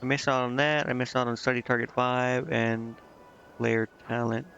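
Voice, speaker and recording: A man's voice: mid-range voice, flat delivery, normal speed, fairly bright tone, highs cut off. A man doing casual video game commentary as a streamer, thinking aloud in a rambling, hesitant way. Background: game music.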